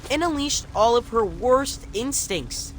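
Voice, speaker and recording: A man playing a cautious, matter-of-fact, wry young mutant in an animated video game. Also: very high-pitched, measured pace, slight background noise, rough recording.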